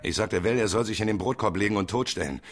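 A man's voice: lowish voice, fast, natural voice. An actor playing a guarded man under police questioning in a radio crime drama.

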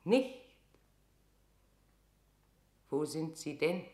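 A woman's voice: low voice, big pitch swings, drawn-out words, a little mellow, roomy sound, heavily acted. An actress playing a proud, anxious English queen in a classical radio drama, with declamatory, commanding delivery. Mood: imperious. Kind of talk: classical German stage-play radio acting.

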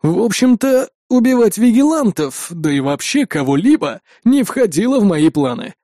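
Cold armoured sci-fi raider, played by a male narrator: scornful, threatening, mellow, dry studio sound. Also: high voice, very animated, moderate pace, dry recording. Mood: mocking menace.

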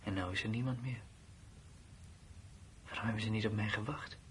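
A blunt, uneasy soldier acted by a man in a radio play. His voice sits fairly low and he talks in a plain, colloquial, matter-of-fact way. The old recording carries faint noise. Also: fairly even intonation, normal speed, a bit bright, close to an everyday voice. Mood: bewildered, aggrieved. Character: blunt, uneasy male soldier left behind at his post. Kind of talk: Dutch radio drama acting.

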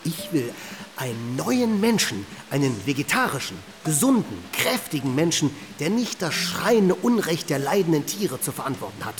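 An actor playing an earnest, puritan vegetarian inventor in a radio drama, speaking politely in wordy, formal sentences. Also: male voice, fairly high pitch, sing-song, moderate pace, soft background music. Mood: polite, eager. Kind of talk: German radio drama acting. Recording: clear background ambient noise.

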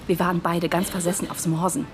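A woman's voice: lowish voice, little pitch movement, brisk, faint music behind, natural voice. An actress playing an earnest, polite young woman in the wartime resistance, chatty and eager, in a naturalistic radio drama. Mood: happy, eager.

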